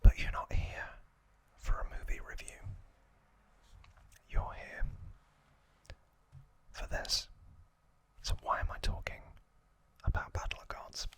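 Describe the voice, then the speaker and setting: Slow whispering man, ASMR style. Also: a bit bright. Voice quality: breathy unvoiced whisper. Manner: slow, drawn-out phrasing with frequent pauses. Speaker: ASMR host. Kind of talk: whispered ASMR trivia narration.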